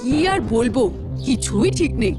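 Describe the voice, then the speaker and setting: A woman's voice: a little high, very animated, normal speed, music in the background. A woman voicing a worried, cautious village boy in a cartoon, speaking in an earnest, explanatory way. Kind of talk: Bengali village cartoon dialogue.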